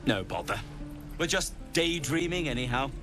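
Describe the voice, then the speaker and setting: An actor playing an easygoing, hopeful refugee in a fantasy game, with wry, relaxed talk. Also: male voice, a little high, normal speed, soft background music.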